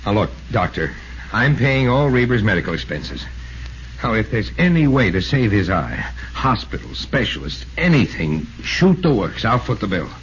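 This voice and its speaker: A man's voice: on the low side, very animated, moderate pace. A loyal, brash star ballplayer in a 1940s radio drama. His slangy speech swings up and down. The sound is muffled, with faint noise. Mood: earnest, urgent. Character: hearty, big-hearted star ballplayer, brash but loyal.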